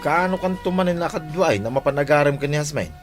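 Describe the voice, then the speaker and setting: An actor with an Ilocano Filipino accent playing an inquisitive, familiar young village buddy in a radio drama. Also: male voice, on the high side, expressive, fast, music in the background, lightly acted. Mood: questioning, surprised.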